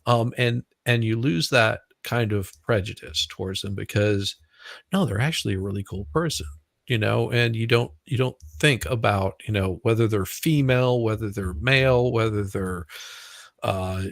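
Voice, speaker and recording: Gravelly Southern man chatting. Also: on the low side, normal speed, no room sound, studio quality. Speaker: live stream guest. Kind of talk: casual chat.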